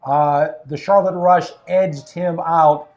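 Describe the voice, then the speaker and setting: A man's voice: a little high, fairly even intonation, takes it slowly, a little mellow. Chatty upbeat man hosting. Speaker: team broadcaster and host. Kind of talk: casual sports interview. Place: coach's office at an ice rink.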